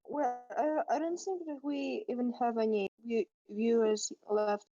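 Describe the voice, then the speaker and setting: A woman's voice: on the high side, steady tone, unhurried. A woman chatting casually on a livestream, playful and teasing, hesitating with frequent ums. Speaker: livestream co-host. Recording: dull lo-fi stream audio.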